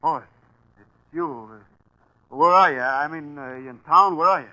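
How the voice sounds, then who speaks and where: An actor playing a frightened, cornered crook in a 1940s radio drama, stammering and pleading nervously. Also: male voice, fairly high pitch, a little slow, sharp tone. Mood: nervous, panicked. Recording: noisy vintage 1940s radio broadcast.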